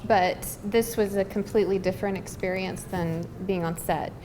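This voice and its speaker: A woman's voice: medium pitch, fairly even intonation, normal speed. A woman screenwriter answering questions casually, earnest and hesitant as she searches for words. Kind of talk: casual, unscripted Q&A talk. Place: live event hall. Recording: reverberant hall with background noise.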